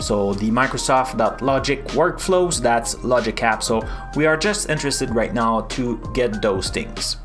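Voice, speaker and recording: A man's voice: on the high side, fairly bright tone. A man with a French accent, casually chatting through a live coding stream, rambling with lots of fillers and restarts.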